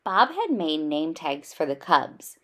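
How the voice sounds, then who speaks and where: A woman reading a children's story aloud in an expressive, animated storytelling style with clear emphasis. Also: deep voice, slow.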